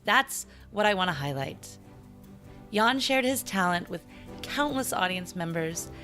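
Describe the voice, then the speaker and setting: A woman hosting a podcast, chatting in a curious way and reacting quickly to her guest. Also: on the low side, expressive, bright, forceful tone, faint music behind. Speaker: podcast host. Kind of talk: casual interview chat.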